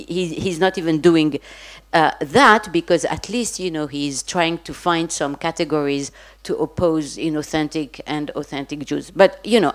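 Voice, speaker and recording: A woman with a fairly low voice speaks from the audience at an academic panel. She works through her point thoughtfully, restarting and circling back with plenty of fillers. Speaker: audience member asking a question. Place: conference hall.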